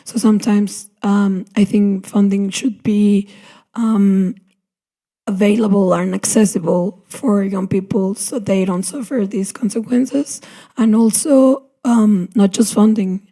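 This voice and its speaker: A woman speaking thoughtfully as a panelist at a conference, pausing with fillers. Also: medium pitch, steady tone, gravelly, mellow. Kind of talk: panel discussion. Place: conference session.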